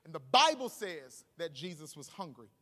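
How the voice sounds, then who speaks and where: A man's voice: fairly high pitch, sing-song, moderate pace, bright, forceful tone. A man in his thirties preaching as a pastor to a congregation, conversational and emphatic with humorous asides, on a handheld microphone. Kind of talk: animated sermon to a congregation. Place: large stage in an auditorium. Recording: reverberant hall PA sound.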